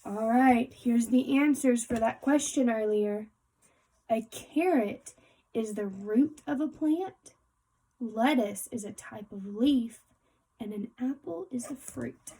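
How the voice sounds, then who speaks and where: Female teacher for picture book read-aloud: slow delivery, soft-edged husky tone, talks directly to kids. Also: a little high, poor audio. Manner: explanatory, addressing young listeners directly.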